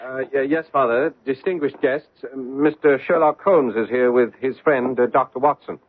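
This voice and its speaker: An actor playing a polite, anxious young gentleman heir in a radio mystery, courteous, formal and hesitant. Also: mid-range voice, normal speed, no echo, close to an everyday voice. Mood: worried, hesitant. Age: young adult. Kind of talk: radio mystery drama acting. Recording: muffled, dry, narrowband old radio recording.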